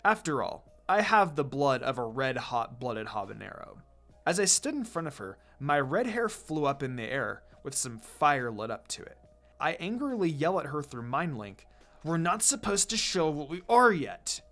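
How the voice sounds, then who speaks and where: A man's voice: fairly high pitch, big pitch swings, normal speed, a bit bright. A man voicing a hot-tempered teenage ninja girl in an emphatic, exasperated way for a fan fiction reading. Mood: furious. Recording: music behind the voice.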